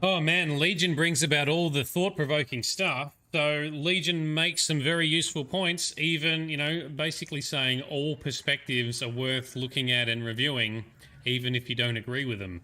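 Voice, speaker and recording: A man's voice: a little high, fairly even intonation, moderate pace, bright, forceful tone. An Australian man giving casual, sweary, jokey live commentary as he plays a video game. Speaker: livestreaming gamer. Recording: noisy stream with game sound. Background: video game audio.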